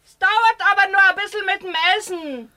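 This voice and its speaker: A homely, bustling miner's wife in a 1970s radio play. Her voice is very high and bright, with a polite hostess manner. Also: a little fast. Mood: welcoming, bustling. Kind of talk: 1970s German radio drama acting.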